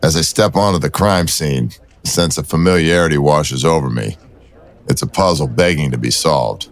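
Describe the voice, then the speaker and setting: A man's voice: extremely low pitch, normal speed. A man playing a cool, teasing police detective in an audio drama, with a wry, bantering manner. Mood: wry, musing.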